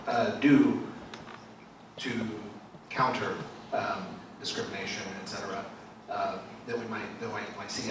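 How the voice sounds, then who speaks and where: A man in his thirties moderating a panel discussion with a handheld mic, speaking in a hesitant, halting way. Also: medium pitch. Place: large church hall. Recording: echoey hall from far off, faint hiss.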